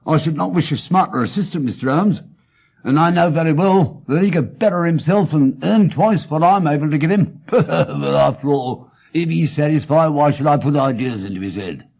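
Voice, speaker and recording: Man acting a high, husky English pawnbroker, earnest and stammering. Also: expressive, moderate pace, a little mellow. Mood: earnest, plaintive. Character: plain, stout, red-headed male pawnbroker, earnest and wordy.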